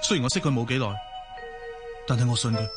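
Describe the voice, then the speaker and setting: Sincere young man played by an actor, mid-pitched, determined radio drama delivery over clear music. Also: fast talker, natural voice. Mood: firm.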